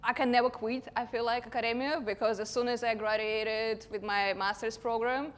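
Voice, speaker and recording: A woman's voice: fairly high pitch, steady tone, normal speed. A woman in her thirties from Russia, speaking English with a Russian accent, gives a chatty, self-deprecating conference talk with light laughs. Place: large conference hall. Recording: long hall reverb.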